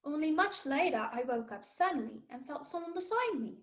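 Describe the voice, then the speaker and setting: A woman's voice: high-pitched, animated, brisk. A woman playing a young cabin boy who narrates his adventure in a scripted radio drama. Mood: storytelling.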